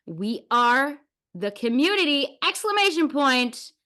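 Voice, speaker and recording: A woman's voice: high-pitched, very animated, a little slow, pushed and bright. A woman hosting a podcast, chatting casually in an effusive, informal way. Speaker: podcast host.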